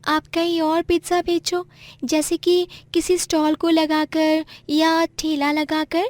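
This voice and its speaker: A woman's voice: very high-pitched, level tone, slow, no echo. A woman voicing a cheerful, helpful young child with an earnest, childlike manner in a moral story. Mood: encouraging.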